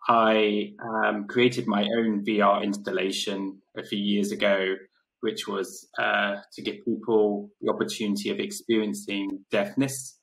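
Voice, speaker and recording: Young deaf man, slow flat gravelly delivery, video call panelist. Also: on the low side, poor audio. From London, UK. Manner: deaf speaker, slow and careful. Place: home room.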